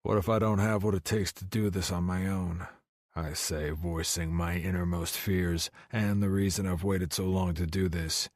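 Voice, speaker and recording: A man's voice: sits low, flat delivery, normal speed, no echo, clean studio sound, lightly acted. A man playing a driven, hot-tempered finance executive in first-person audiobook narration, intense and blunt. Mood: doubtful, reflective.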